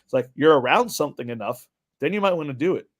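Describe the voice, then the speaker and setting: A man's voice: a little high, lively intonation, fast talker. A man co-hosting a podcast, telling things in a casual, rambling way and often quoting himself as he goes. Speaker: podcast co-host, fitness coach. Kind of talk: casual conversational storytelling.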